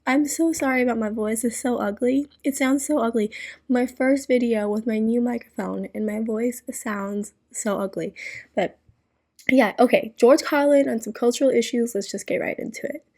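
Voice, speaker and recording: A woman chats casually to her viewers in a hoarse, raspy voice, worn down by a cold. She sits a little high in pitch and giggles often between thoughts. Her voice comes through close and clean on a studio microphone. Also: normal speed, warm tone. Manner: chatty and giggly. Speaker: reaction video host. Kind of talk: casual vlog chat. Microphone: new studio microphone.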